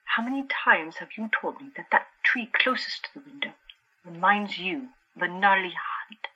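Troubled young woman in a radio play, acted, raspy but bright voice, resigned and haunted. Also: on the low side, expressive, normal speed, highs cut off, exaggerated voice. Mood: resigned, insistent. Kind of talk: audio drama acting.

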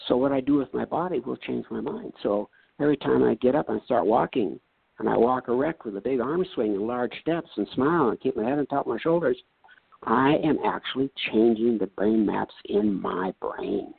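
A man's voice: medium pitch, expressive, moderate pace, vocal fry, husky, dry recording. A man in his seventies from North Dakota, a retired doctor telling a personal story in a folksy, earnest way and acting out the things people said to him. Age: 70s. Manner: plain storytelling, folksy and earnest, acts out quoted exchanges. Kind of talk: personal story, casual talk. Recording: tinny phone-like line, dry.

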